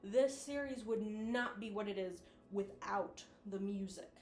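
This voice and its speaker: A woman's voice: mid-range voice, a little slow, darkish tone, faint music behind. Informal, effusive woman in a roomy echo. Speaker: video essayist, host. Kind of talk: casual video commentary.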